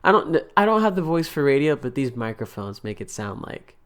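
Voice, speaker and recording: A man's voice: medium pitch, very animated, brisk, crowd noise. A young man co-hosting a podcast, talking in casual, rambling banter with lots of restarts and laughs. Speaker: podcast co-host.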